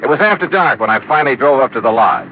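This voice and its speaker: Tinny vintage radio sound, male actor as hard-boiled American detective, mid-pitched, clipped, dry, wry narrator. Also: animated, quick pace, a little hiss or hum, lightly acted. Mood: dry, matter-of-fact. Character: tough, wry male insurance investigator narrating his case. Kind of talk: 1950s radio detective drama acting and narration. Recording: tinny old radio recording with music.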